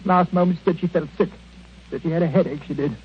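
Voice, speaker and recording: An actor playing a bitter, self-pitying elderly husband in a querulous, theatrical 1940s radio drama style. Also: male voice, a little high, fairly even intonation, quick pace, slightly rough. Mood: bitter, vengeful. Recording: muffled, noisy vintage radio recording.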